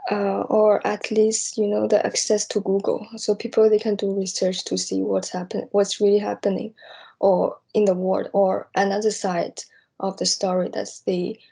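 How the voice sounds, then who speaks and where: A young woman from East Asia speaking off the cuff and reflectively, hesitant and halting as she searches for words. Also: medium pitch, little pitch movement, creaky, mellow, husky. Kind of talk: unscripted spoken reflection on personal hopes.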